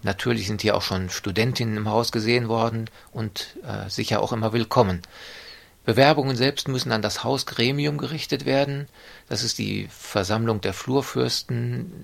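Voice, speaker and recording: A man giving measured, formal interview answers with frequent hesitations. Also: mid-range voice, creaky. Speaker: interviewee describing the student residence.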